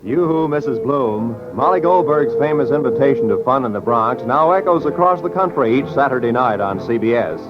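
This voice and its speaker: A man's voice: medium pitch, expressive, moderate pace, hardly any pauses. An American man reading scripted credits and promos as a mid-century network radio announcer. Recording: dull vintage radio broadcast with music.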